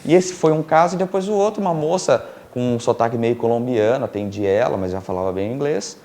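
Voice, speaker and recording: A middle-aged man from Brazil, a filmmaker and producer telling stories as a TV interview guest, animated and laughing often, with many fillers and restarts. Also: medium pitch, fairly quick. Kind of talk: casual storytelling in a TV interview.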